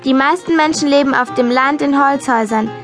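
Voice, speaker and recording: A woman's voice: high voice, brisk. A woman narrating a scripted history audiobook for children. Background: music.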